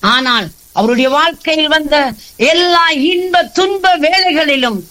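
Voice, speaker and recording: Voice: high-pitched, measured pace, sharp tone. A preacher delivering a sermon in an emphatic, exhortatory way, using rhetorical repetition.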